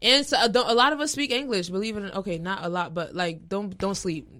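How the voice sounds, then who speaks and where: A young woman co-hosts a casual chat at an easy middle pitch. She talks with lively energy, often laughing and slipping into mocking impressions of what people say to her. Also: quick pace. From Haiti. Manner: animated, laughing, mock-quoting people. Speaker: podcast co-host.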